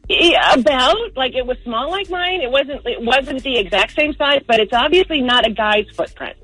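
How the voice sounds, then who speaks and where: Animated, chatty woman calling a radio show. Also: sits high, moderate pace, dry recording, low-quality recording. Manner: informal, peppers talk with "like". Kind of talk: chatty personal storytelling.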